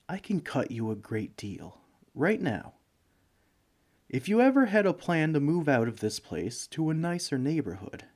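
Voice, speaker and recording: Man playing a sheepish, slow-talking broke villain neighbor. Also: fairly high pitch, darkish tone. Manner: sheepish, rambling, hesitant with filler words. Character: broke, bumbling young male villain neighbor asking favors. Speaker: roleplay voice actor. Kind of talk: scripted comedic audio roleplay, one side of a conversation.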